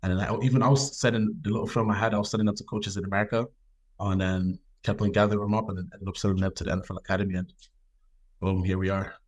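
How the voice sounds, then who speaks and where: Relaxed young male guest from Ireland, somewhat low voice, easygoing unhurried answers. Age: young adult. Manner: relaxed, laid-back, many ums and "you know". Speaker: guest football player. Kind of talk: casual interview answers.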